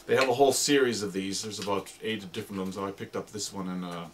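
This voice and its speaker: A man's voice: lowish voice, steady tone, fast, slight background noise. A man giving an informal, explanatory demonstration of radio drama sound effects, piling up descriptive words as he talks.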